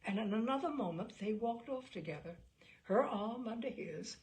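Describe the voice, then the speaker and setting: A woman reading a classic novel aloud as an audiobook, in a measured, expressive storytelling manner with a creaky voice. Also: a little high. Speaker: audiobook reader. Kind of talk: literary narration of a classic novel, voicing dialogue.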